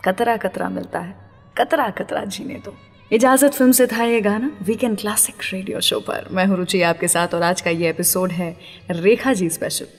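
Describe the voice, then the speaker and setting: A woman's voice: mid-range voice, normal speed, long reverb tail, faint music behind. A woman hosting a radio show, telling stories to listeners in a dramatic, teasing way with rhetorical questions. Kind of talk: radio presenting, storytelling to listeners.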